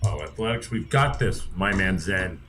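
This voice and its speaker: A man's voice: low-pitched, lively intonation, normal speed, background noise. A man playing a tabletop game, joking and bantering in an easygoing way. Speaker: player.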